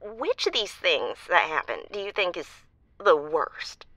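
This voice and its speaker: A woman's voice: on the low side, big pitch swings, measured pace, sharp tone, no room sound, full character voice. A woman playing a scheming, mock-innocent jilted caller in a comic audio drama, stammering and theatrical. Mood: sly, mock-innocent.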